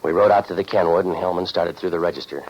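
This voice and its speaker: A very low-voiced American private eye as a male actor plays him, sardonic and deadpan, telling his case like 1940s radio noir. The old recording is dull, with some background noise. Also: fast, natural voice. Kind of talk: 1940s radio noir acting, scripted first-person narration.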